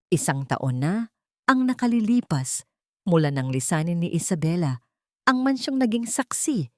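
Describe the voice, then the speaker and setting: A woman with a Filipino accent narrates a sentimental drama in a fairly low voice. Her delivery is measured and emotive, a scripted storytelling read. The sound is very dry, with no room around it. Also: darkish tone, dry recording.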